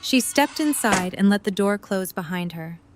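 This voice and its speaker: A woman narrating a fan audio drama in a calm, even storytelling tone. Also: mid-range voice, moderate pace, background noise. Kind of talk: scripted narration.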